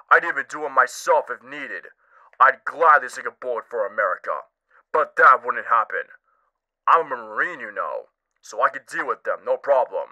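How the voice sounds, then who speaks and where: A man's voice: medium pitch, bright, forceful tone, low-quality recording. Man playing a brash young Marine recruit. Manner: brash, bragging. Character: boastful young male Marine recruit caller.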